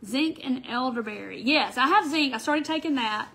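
Chatty nasal woman, high voice. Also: expressive, moderate pace, creaky, sharp tone. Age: middle-aged. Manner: chatty, greets viewers by name as she goes. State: stuffy nose from a summer cold. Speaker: craft show host. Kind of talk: casual livestream craft chat. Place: indoor craft room. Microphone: camera on the table in front of her.

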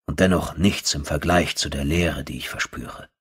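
A man narrating a first-person horror audiobook in a brooding, terse, dry manner with a husky voice. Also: extremely low pitch, normal speed, fairly bright tone.

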